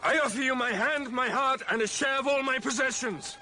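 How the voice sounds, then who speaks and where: An actor playing a brooding, ardent master of the house in a period radio drama, urgent and commanding. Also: male voice, very high voice, fairly quick, over music. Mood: ardent, desperate.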